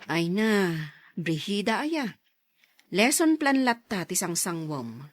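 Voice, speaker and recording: An actress playing a frank, nosy middle-aged friend in a radio drama, chiding with an Ilocano accent. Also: female voice, mid-range voice, big pitch swings, slow, dry recording. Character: nosy, frank woman friend nudging Brigida toward romance.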